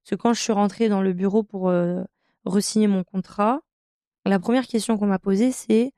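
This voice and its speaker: A woman's voice: medium pitch, fairly even intonation, moderate pace, no room sound, clean studio sound. A woman in her thirties, a professional handball player from France, telling her career story in a podcast interview with many hesitations. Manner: hesitant, many fillers, warm enthusiasm about her career.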